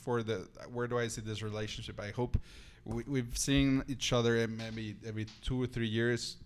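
A man's voice: medium pitch, level tone, moderate pace. Man chatting casually over background noise. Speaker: podcast participant. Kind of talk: casual joking conversation among friends.

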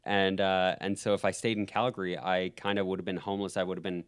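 Young adult man, Canadian accent, relaxed interview guest, lowish clear voice. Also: fast talker. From Alberta, Canada. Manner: relaxed, laughs easily, frequent ums and restarts. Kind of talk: casual conversation.

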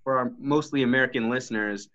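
Guy host reading prepared questions, bright tone, dull lo-fi recording. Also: mid-range voice, fast. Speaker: podcast host. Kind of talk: interview questions. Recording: dull lo-fi sound.

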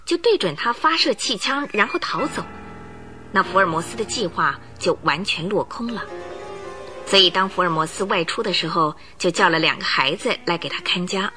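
A woman narrating a radio drama as a lively storyteller, tossing in rhetorical asides. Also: medium pitch.

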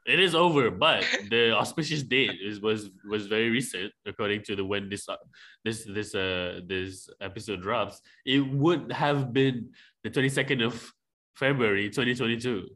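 A man's voice: fairly low pitch, normal speed, sharp tone. A man from Malaysia co-hosting a casual podcast, trading playful jokes. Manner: joking, playful banter. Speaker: podcast co-host. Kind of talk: casual chat.

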